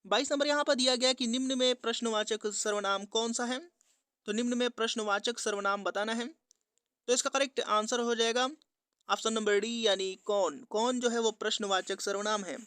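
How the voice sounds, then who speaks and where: A man's voice: very high-pitched, fairly bright tone, clean tone, no echo, studio quality. A man teaching an exam-prep lesson, methodically reading out quiz questions and giving the answers in a repetitive instructional pattern.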